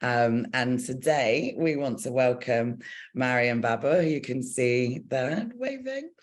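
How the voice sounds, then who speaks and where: A woman hosting a video-call session, hesitant and halting. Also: a bass voice, lively intonation. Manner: hesitant, many fillers and restarts. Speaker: host.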